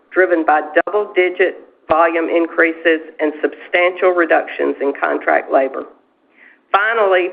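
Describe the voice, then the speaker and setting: A woman executive reading a scripted financial presentation on a corporate earnings call. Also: on the low side. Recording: tinny, narrow phone-line conference call.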